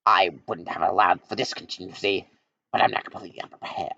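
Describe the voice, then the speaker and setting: A man's voice: sits low, steady tone, quick pace, vocal fry, bright, forceful tone, slightly rough, heavily acted. A man voicing a pompous, scheming male mad-scientist villain, in a dull lo-fi recording. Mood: gloating. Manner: pompous, gloating.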